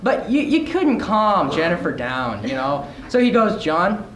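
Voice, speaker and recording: A man's voice: high-pitched, big pitch swings, unhurried. A teenage boy telling a comic story as a speech, animatedly acting out the dialogue. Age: teens. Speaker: student speech performer. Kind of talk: comedic storytelling speech to an audience. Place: large echoey indoor room before an audience. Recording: very noisy, echoey room recording.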